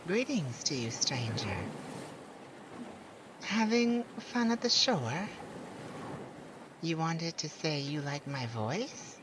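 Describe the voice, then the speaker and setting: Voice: medium pitch, takes it slowly, long pauses. A performer voicing a proud, lonely male siren in a scripted ASMR roleplay, speaking theatrically and teasingly to one listener. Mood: playful, intrigued. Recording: steady background noise of beach ambience. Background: beach and ocean sounds.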